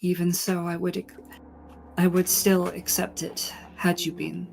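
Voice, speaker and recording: A woman playing a gracious, earnest noble lady who speaks in a measured, formal, apologetic way. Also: on the low side, monotone, vocal fry, a little mellow, rough recording. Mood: sincere, apologetic.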